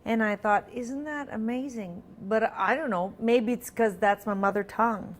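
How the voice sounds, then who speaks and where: A woman's voice: a little high, moderate pace. A middle-aged woman from Alberta whose first language is French, chatting as an interview guest with lots of ums and restarts. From Alberta, Canada. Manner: chatty, many ums and restarts, laughs easily. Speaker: singer-songwriter guest. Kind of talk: casual interview chat.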